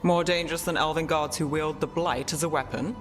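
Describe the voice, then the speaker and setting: An actor playing a cool, dry-witted investigator in a fantasy video game, wry and matter-of-fact. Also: male voice, on the high side, steady tone, fairly quick, fairly bright tone, faint music behind, close to an everyday voice.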